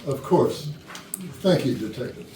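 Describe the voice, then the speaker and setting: A woman's voice: a bass voice, lively intonation, unhurried, mellow. A woman playing a polite, evasive, formal senior company woman in a staged murder mystery comedy. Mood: polite relief. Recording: echoey room, distant mic, faint noise.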